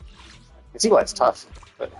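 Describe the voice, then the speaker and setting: A man giving casual, unscripted gameplay commentary on a live stream, rambling and thinking out loud in a husky voice. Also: unhurried, faint music behind.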